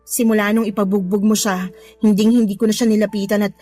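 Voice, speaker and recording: An actress playing a pregnant, money-minded young mistress in a Filipino radio drama, coaxing, clingy and sweet. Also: female voice, mid-range voice, little pitch movement, quick pace, dark tone, lightly acted. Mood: placating. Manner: wheedling, clingy, placating. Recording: music bed under the dialogue.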